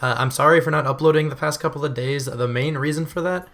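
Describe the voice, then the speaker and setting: A young man with a voice still hoarse from illness, giving casual, rambling video game commentary and often correcting himself. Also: a little high, fairly even intonation, quick pace, fairly bright tone. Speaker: gaming channel host.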